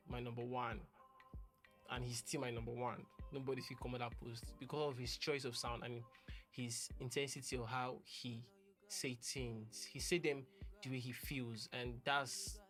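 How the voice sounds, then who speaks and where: A man's voice: medium pitch, a bit bright. A young man, talking casually and earnestly about a musician he admires, rambling and restarting his sentences. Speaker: music producer. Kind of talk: casual talk to camera.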